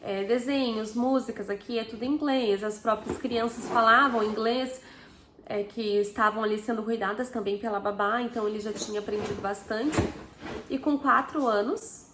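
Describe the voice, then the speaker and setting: A Brazilian woman in her thirties speaks with a Brazilian Portuguese accent in a relaxed, conversational vlog style. She often tags her sentences with little questions. Her voice sits a bit high and rings in an echoey room. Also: normal speed, a little hiss or hum. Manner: chatty, frequent tag questions. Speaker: vlogger. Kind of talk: casual personal story to camera.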